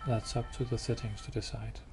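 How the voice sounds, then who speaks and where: A man giving a hands-on product demo indoors, explaining step by step in a halting, matter-of-fact way. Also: mid-range voice, little pitch movement, music in the background. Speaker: product demonstrator. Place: indoors, showroom with wall-mounted devices. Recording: clear background noise.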